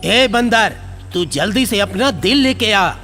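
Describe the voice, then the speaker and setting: A man's voice: high-pitched, animated, a little slow, bright, forceful tone, faint music behind, heavily acted. A man voicing a scheming, henpecked male crocodile in a children's cartoon story, with a coaxing, theatrical manner. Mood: menacing.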